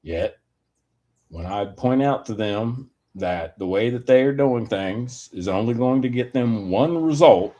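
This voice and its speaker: A man giving a rambling personal opinion monologue in a deliberate, halting manner with wordy, formal phrasing. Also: medium pitch, animated, dark tone, muffled recording.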